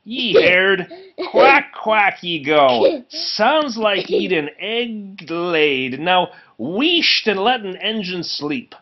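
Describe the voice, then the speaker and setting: A man's voice: high voice, very animated, drawn-out words, voice pushed up, exaggerated voice. A storybook steam engine with a Scottish accent, acted out by a man reading aloud. He is sleepy and teasing, stretching his words mockingly. The voice swings up and down, on a slightly dull recording. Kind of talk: children's storybook read-aloud.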